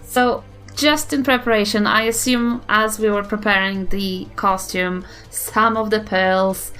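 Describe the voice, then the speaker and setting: A woman's voice: mid-range voice, fairly even intonation, unhurried, a bit bright. A woman playing a tabletop role-playing game, chatting casually and thinking aloud in a hesitant way. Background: faint background music.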